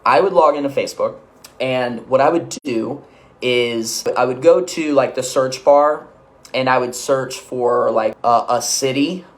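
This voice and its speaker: A man giving an instructional business-coaching talk, conversational and energetic, checking in often with quick asides. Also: medium pitch. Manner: energetic, conversational, uses filler checks like "okay?" and "right?".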